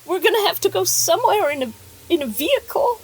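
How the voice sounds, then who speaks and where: A woman acts out a wary, grieving widow in an audio horror drama. Her voice sits very high and moves widely in pitch as she pleads haltingly and repeats herself. There is faint noise behind her. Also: sing-song, exaggerated voice. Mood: scared, pleading.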